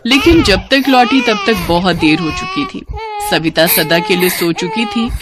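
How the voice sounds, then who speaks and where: A woman's voice: medium pitch, sing-song, a little slow. A woman narrating a cartoon story in a scripted, emotive storybook manner.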